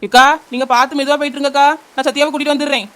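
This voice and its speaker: A woman playing a brisk, brave young aunt. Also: sits high, flat delivery, natural voice. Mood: determined. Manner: reassuring, brisk, fast-talking. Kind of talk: amateur audio drama.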